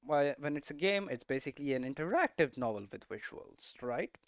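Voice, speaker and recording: A man giving an informal explanatory lesson as a presenter, posing questions and answering them himself in halting, emphatic phrases. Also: on the high side, very animated, creaky, no echo, studio quality. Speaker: presenter of a game development explainer series.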